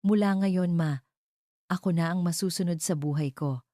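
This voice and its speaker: A woman voicing a weary grown son in an audio drama, calm, weighty and firmly defiant. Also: on the low side, moderate pace, no room sound, clean studio sound, natural voice. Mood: firm defiance.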